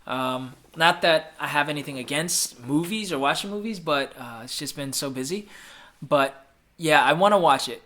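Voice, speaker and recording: A man's voice: on the high side, normal speed, pushed and bright, slight background noise. A man in his thirties hosting a casual live Q&A, chatty and upbeat, reading viewer comments and often repeating himself. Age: 30s. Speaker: livestream host answering viewer questions.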